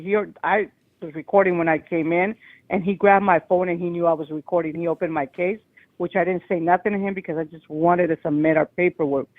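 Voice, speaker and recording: Woman caller, loud voice, rambling and agitated, tinny phone line, dry sound. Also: fairly low pitch, no room sound. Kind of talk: call-in show phone call.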